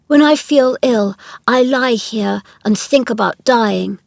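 A woman's voice: fairly high pitch, slow pace, gravelly, dry recording, studio quality, voice pushed up. Woman voicing a fretful, imperious sickly young boy. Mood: cross, fretful. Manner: demanding, self-pitying. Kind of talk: children's storybook narration.

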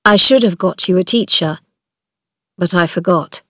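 A woman voicing a sad, tired older man who is ill and worried, speaking quietly and kindly. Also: fairly low pitch, moderate pace, dry recording, clean studio sound. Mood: weary. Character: sad, weary, ill older male guardian.

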